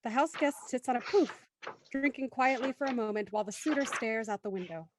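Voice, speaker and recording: A woman's voice: on the high side, fairly quick, background noise, close to an everyday voice. A woman narrating a scripted audio drama as its stage-direction narrator.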